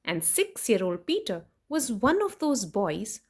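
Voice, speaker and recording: A woman doing an expressive children's picture book read-aloud, playful in her emphasis, with chatty asides to her young listeners. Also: mid-range voice, a little slow, husky. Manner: animated, sing-song rhyme reading with playful emphasis. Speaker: children's story reader and host.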